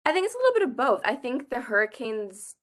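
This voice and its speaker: A woman's voice: high-pitched, very animated, fast talker, a bit bright. A young woman from the Bahamas, a climate activist guest answering interview questions earnestly, with frequent "like" and "I think". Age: young adult.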